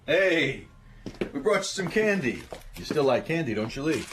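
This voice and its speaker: An actor playing an affable but weary American police sergeant in a radio drama, informal and apologetic. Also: male voice, a little high, sing-song, normal speed, over music. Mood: friendly.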